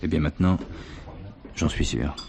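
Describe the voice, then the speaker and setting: An actor playing a calm, shrewd police commissioner in a French stage thriller, questioning with deliberate politeness. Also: male voice, rumbling low. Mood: calm, serious. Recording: 1970s stage play recording, some hiss.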